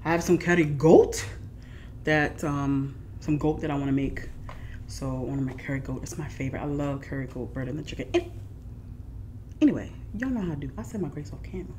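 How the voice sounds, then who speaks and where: Low-voiced woman food vlogger, animated. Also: fairly quick, slight background noise. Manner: animated, shifts to soft whispers while eating. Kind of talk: casual mukbang chat. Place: living room at home, indoors.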